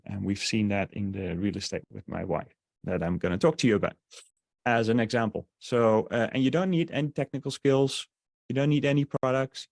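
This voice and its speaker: Dutch-accented male webinar presenter, lowish voice, rambling sales pitch, dry close mic. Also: gravelly, no echo. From Netherlands. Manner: rambling, many fillers and restarts.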